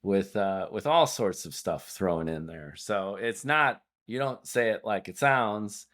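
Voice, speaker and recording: A man's voice: fairly low pitch, animated, a little slow, fairly bright tone. A man hosting a podcast interview, a native Hungarian speaker who talks in wordy, digressive stretches. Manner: discursive, wordy, prone to digressions. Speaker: podcast host.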